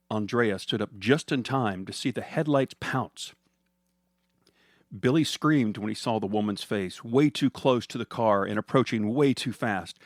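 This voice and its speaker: A man's voice: fairly low pitch, no room sound, studio quality. A man narrating a scripted comedic story as a storyteller.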